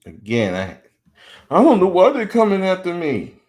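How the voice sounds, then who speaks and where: Blunt, animated man in his forties. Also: sits high, moderate pace. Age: 40s. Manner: blunt, animated, mocking when quoting others. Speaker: podcast host. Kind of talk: opinionated commentary monologue.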